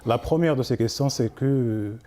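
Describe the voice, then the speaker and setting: Man, native Arabic speaker, mellow warm tone, measured, TV interview guest. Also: mid-range voice. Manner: measured, repeats "exactement" often. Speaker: author guest. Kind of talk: television interview.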